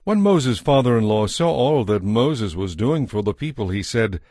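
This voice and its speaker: A man narrating a dramatized Bible from a script in a measured storytelling manner. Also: medium pitch, big pitch swings, a little mellow, dry recording, clean studio sound.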